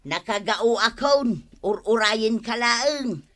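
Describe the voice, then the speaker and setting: An actress playing a long-suffering, grumbling village housewife with an Ilocano accent in a radio comedy drama. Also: female voice, a little high, animated, slow pace, a bit bright. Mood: grumbling, resigned.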